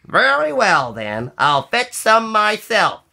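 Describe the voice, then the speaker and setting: A man voicing a fussy, industrious female hen from a storybook, in an exaggerated singsong with drawn-out calls. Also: high-pitched, wildly swooping pitch, gravelly, pushed and bright, voice pushed up, full character voice. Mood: resigned, determined.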